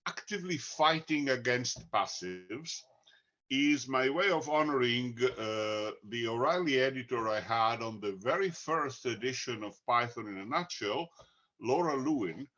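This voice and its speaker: A man with an Italian accent, a book co-author, talking slowly and deliberately with many pauses. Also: medium pitch, bright, forceful tone, lo-fi sound. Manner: slow, deliberate, frequent "uh" pauses. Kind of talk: conversational talk.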